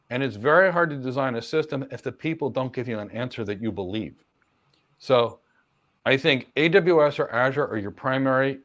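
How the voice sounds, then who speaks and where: A middle-aged man answers viewer questions in a live Q&A with easy confidence. His voice sits at a middle pitch, and he talks in long runs, repeating words and adding quick asides as he coaches on careers. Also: a bit bright. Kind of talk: live Q&A, casual explanatory talk.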